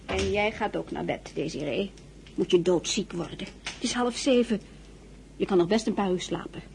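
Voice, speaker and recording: A woman's voice: medium pitch, moderate pace, vocal fry, darkish tone, a little hiss or hum, close to an everyday voice. A woman playing an outspoken, motherly maidservant in a radio drama, fussing and scolding in a blunt, familiar way. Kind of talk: radio drama acting in Dutch.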